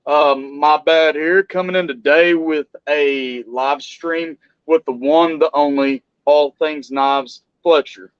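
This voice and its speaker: A man's voice: fairly high pitch, slow pace, hardly any pauses, clear, resonant tone, dry recording, dull audio. A man hosting a casual live stream, chatting loosely with many restarts about family and knives. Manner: relaxed, rambling with restarts.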